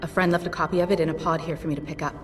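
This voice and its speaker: A woman's voice: on the low side, steady tone, rapid-fire, close to an everyday voice. An actress playing a tough, guarded female pilot who swears often in a video game cutscene. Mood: upset. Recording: distant voice with long reverb over music.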